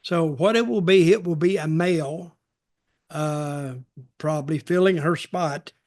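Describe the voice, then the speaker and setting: An older man chatting casually as a podcast co-host, giving opinions in a halting way with repeated, restarted phrases. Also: fairly high pitch, darkish tone. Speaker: podcast co-host and wrestling veteran.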